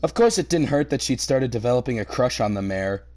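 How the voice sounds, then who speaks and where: Animated man reading aloud with mocking commentary. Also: medium pitch, a little fast. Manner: mocking, sweary, theatrically exasperated. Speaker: host reading a fan fiction aloud and reacting. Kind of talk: comedic reaction reading, narration broken by commentary.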